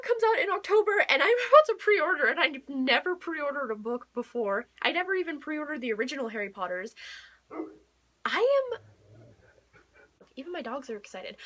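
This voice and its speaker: A woman's voice: very high-pitched, fairly bright tone. A young woman chatting casually as a book vlogger, gushing and emphatic, often repeating words for emphasis. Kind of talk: casual chat to camera.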